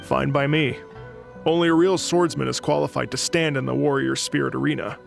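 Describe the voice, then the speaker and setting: A man's voice: mid-range voice, fairly even intonation, brisk, gravelly, faint music behind. A man playing an earnest, proud sword disciple, speaking formally and solemnly in dramatic video game style. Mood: humbled, earnest. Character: earnest, proud young male sword disciple, senior student.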